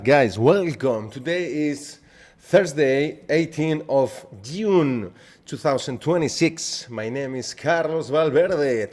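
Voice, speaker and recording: A man's voice: medium pitch, darkish tone. A man with a Spanish accent, a native Spanish speaker, hosting a live trading webinar in casual chat, greeting viewers by name and often repeating himself. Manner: chatty, greets viewers by name, repeats phrases, restarts sentences.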